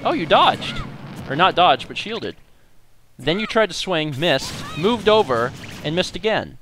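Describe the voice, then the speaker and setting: A man's voice: high-pitched, very animated, a little slow, low-quality recording. A young man casually commenting on a co-op game as a let's play host, upbeat and chatty, thinking aloud while he plays. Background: game music and sound effects.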